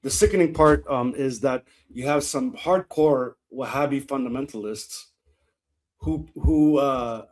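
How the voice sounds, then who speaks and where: A man in a political podcast discussion, speaking haltingly with fillers. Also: mid-range voice.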